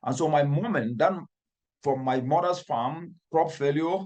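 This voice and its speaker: A man's voice: on the high side, measured pace, a little mellow. A man from Cameroon with a Cameroonian accent, giving earnest, emphatic interview answers as an environmental expert and building his phrases through repetition. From northwestern Cameroon.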